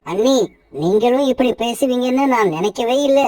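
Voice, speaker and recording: A woman's voice: fairly high pitch, moderate pace, dark tone, recorded from a distance, lightly acted. A woman doing a hurt young brother bird. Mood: pleading. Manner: pleading, hurt.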